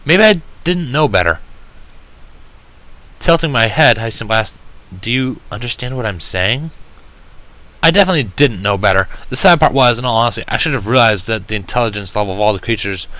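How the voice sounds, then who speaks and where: A man reading a first-person story aloud with an expressive, wry, self-deprecating delivery. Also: fairly low pitch, fast, rough recording. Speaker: fan fiction reader.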